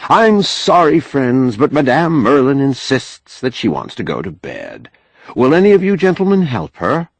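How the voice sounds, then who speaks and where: A man's voice: medium pitch, very animated, moderate pace, vocal fry, no room sound, voice pushed up. A man voicing a stage magician with mildly puzzled, offhand showman's patter in an audiobook. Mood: apologetic showman. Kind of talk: audiobook character acting.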